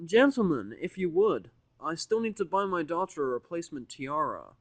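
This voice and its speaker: A man voicing a cold, menacing rich businessman stallion in a fantasy audio drama, with smooth, formal, businesslike speech. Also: on the high side, very animated, moderate pace, no echo, voice pushed up. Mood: cold menace.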